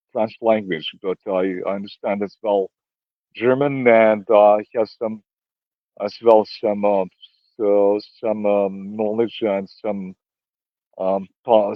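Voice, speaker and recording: A man whose first languages are Serbian and Romanian, answering interview questions in a halting way with many fillers and restarts. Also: on the low side, no echo, dull audio. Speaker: interview guest.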